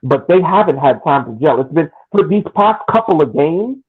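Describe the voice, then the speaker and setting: A man's voice: a little high, expressive, moderate pace, breathy edge, phone-quality sound. A man co-hosting a casual sports podcast, giving long analytical takes with frequent restarts. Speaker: podcast co-host.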